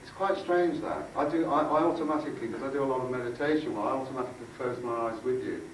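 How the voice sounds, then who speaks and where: A lower-pitched man gives an informal workshop talk to a group. He speaks in a halting, conversational way, circling back over phrases. He is picked up at some distance from the microphone in a very echoey room. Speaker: workshop speaker and aura healer. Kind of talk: informal talk with demonstration and guided visualisation. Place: indoor room before a group. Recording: echoey room, distant mic, faint noise.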